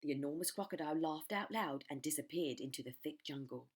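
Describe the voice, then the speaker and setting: A woman reading a children's storybook aloud in an expressive narrating style, like a primary school storytime. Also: deep voice, fairly quick, slightly rough.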